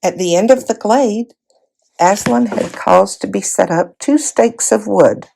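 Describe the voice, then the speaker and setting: A woman reading a children's fantasy novel aloud as an expressive storybook narrator. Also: mid-range voice, vocal fry, poor audio. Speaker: volunteer storybook reader.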